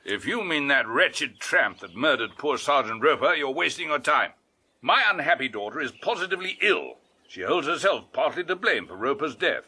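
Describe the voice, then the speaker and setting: An actor playing an irascible, pompous British titled gentleman with a husky voice, curt and dismissive, in a radio drama. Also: fairly high pitch, full character voice. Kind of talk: golden-age detective radio drama acting.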